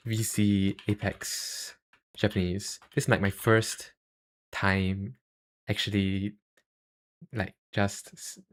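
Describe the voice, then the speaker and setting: A young man with a Singaporean accent chatting casually on a livestream, halting and self-deprecating, speaking into a cheap USB microphone. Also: lowish voice, vocal fry. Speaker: YouTuber and live streamer.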